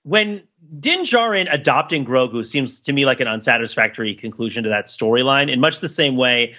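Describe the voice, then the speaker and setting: A man's voice: mid-range voice, lively intonation, fairly quick, sharp tone, muffled recording. A man hosting a podcast, talking casually and analytically in a wordy way that circles around his point. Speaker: podcast host. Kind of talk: casual analytical discussion of a TV show.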